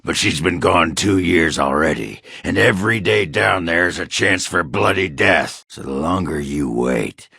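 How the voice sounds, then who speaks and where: An actor voicing a crude, hard-drinking, middle-aged dwarf warrior in a video game, gruff and raspy, blunt and sardonic. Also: male voice, rumbling low, sharp tone, no echo, studio quality, full character voice. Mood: gruff, impatient. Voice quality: gravelly, raspy. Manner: blunt, boastful, swearing, sardonic. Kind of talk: video game character dialogue.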